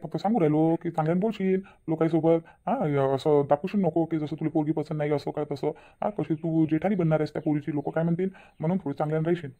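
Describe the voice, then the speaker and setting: A man's voice: a little high, fairly even intonation, fast talker, few pauses, natural voice. A man playing an exasperated husband who nags in a family comedy cartoon. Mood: admonishing. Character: exasperated husband scolding his blunt wife. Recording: thin phone-like narrow audio.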